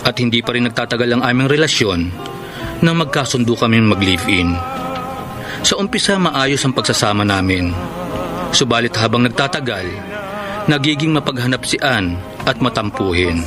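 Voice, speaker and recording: A man's voice: medium pitch, expressive, normal speed, long pauses, music in the background, lightly acted. A man with a Filipino accent acting an earnest, frustrated young live-in boyfriend in a radio drama. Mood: sad, reflective.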